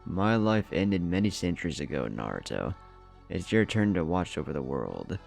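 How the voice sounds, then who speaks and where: A man voicing an ancient, calm and wise male sage in a measured, philosophical manner for a story. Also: deep voice, gravelly, soft background music, full character voice.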